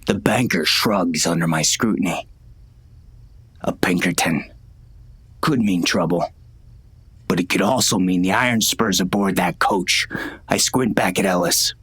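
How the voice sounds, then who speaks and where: An actor playing a hard, commanding western gang boss, stern and clipped, in an audiobook. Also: male voice, deep voice, a little slow, long pauses, a bit bright, slightly rough, full character voice. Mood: cold, menacing. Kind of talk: first-person western audiobook narration and dialogue.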